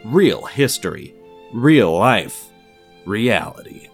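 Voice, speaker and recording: A man's voice: lowish voice, sing-song, takes it slowly, vocal fry, breathy edge, faint music behind. A man reading scripted narration as the narrator of an audio production.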